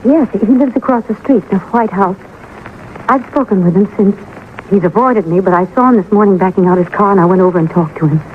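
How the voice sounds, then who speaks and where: An actress playing a sad but composed young widowed mother in a 1950s radio drama, speaking in a measured, hesitant, restrained way. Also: medium pitch, natural voice. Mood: quietly composed. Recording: noisy narrow-band old radio recording.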